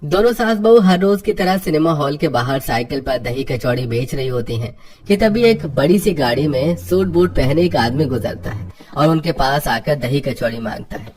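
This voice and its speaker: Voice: medium pitch, darkish tone. Storybook narrator, music underneath.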